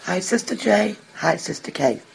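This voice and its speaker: A woman's voice: fairly low pitch, lively intonation, moderate pace, gravelly, a little hiss or hum, low-quality recording. A woman from the UK leaving a blunt, playful voicemail for a podcast. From United Kingdom. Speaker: voicemail caller. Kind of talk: recorded voicemail review.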